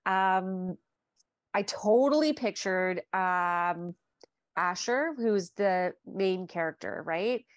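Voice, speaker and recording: A woman's voice: fairly low pitch, expressive, slow, dry recording, studio quality. A woman in her forties hosting a casual interview over a video call from her living room, chatty and gushing, with plenty of fillers. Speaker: podcast host.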